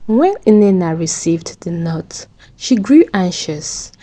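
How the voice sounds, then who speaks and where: Female narrator for dramatic folktales: lowish, soft-toned voice with very lively intonation. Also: slow pace. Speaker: storyteller, channel host.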